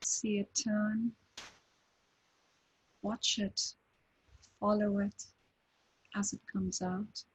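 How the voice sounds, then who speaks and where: A woman leading a guided breathing meditation, giving slow, calm, gentle instructions with long pauses. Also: medium pitch, husky, rough recording. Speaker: meditation teacher.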